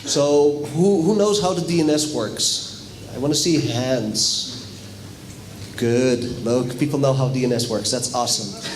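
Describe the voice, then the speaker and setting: A man's voice: mid-range voice, unhurried, a little hiss or hum, poor audio. Dutch man giving a casual tech talk. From Netherlands. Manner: casual, chatty, asks the audience questions. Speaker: conference speaker. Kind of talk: technical conference talk. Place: hotel conference room.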